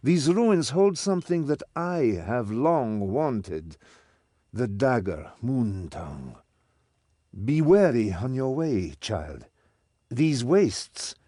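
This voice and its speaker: A mysterious desert guide from a fantasy game, shrewd and patronising. The voice is dark and slow, with lively turns and a dry recording. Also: male voice, medium pitch, no room sound. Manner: slow, paternal, self-important. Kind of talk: fantasy video game voice acting.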